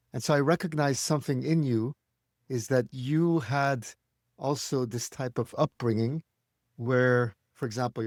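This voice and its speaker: A man's voice: mid-range voice, darkish tone, no echo. Earnest male interviewer, dry sound. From born in Paris, Brazilian and Finnish parents. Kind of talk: conversational interview.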